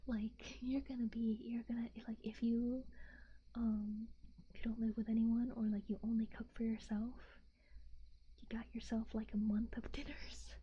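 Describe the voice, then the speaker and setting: A young woman from the United States whispering in a soft, rambling ASMR chat, with fillers and little giggles. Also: fairly high pitch, little pitch movement, normal speed, lo-fi sound, a little hiss or hum.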